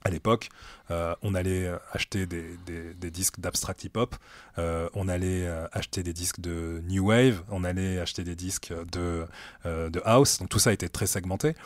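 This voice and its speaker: A man in his late thirties, a music director being interviewed, talks in a relaxed, thoughtful way with many hesitations in an office meeting room. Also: deep voice, moderate pace, dry recording. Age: late 30s. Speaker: music director at a streaming platform, guest. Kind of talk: casual conversational interview.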